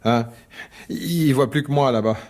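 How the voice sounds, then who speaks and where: An actor playing a placating, skeptical husband in a French radio drama, talking in a colloquial, reasoning way with some exasperation. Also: male voice, mid-range voice, a little slow, darkish tone, natural voice. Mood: placating, evasive.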